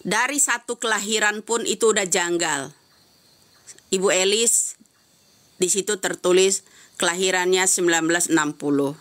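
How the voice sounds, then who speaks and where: A woman's voice: fairly low pitch, normal speed, long pauses, fairly bright tone. A woman giving heated live-stream commentary, answering comments with insistent, repeated rhetorical questions.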